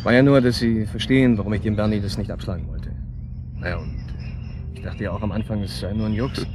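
An actor playing a guarded, shady man in a naturalistic radio drama, colloquial, matter-of-fact and quietly insinuating. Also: lowish voice, steady tone, quick pace, background noise, natural voice. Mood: cautious, confiding.

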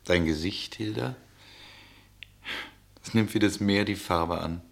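An actor playing a weary, yearning traveller in a radio drama, with a husky voice and an earnest, poetic delivery. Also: male voice, low-pitched, takes it slowly, heavily acted. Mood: tender, fervent. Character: weary, yearning male traveller, ardent and searching. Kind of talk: German radio drama acting.

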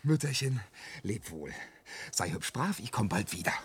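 An actor playing a dreamy, boastful, restless peasant lad in a radio play, with rough voice and declamatory delivery that swings from brooding murmur to exuberant cries. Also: male voice, medium pitch, animated, unhurried, fairly bright tone, exaggerated voice. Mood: wistful, hesitant. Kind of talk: theatrical radio drama acting in verse.

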